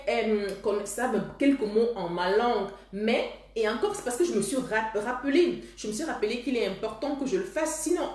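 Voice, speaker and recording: A woman's voice: mid-range voice, a little mellow. A woman from Cameroon, hosting a casual advice talk for viewers, earnest and often restarting her phrases. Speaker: host. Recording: roomy echoey room.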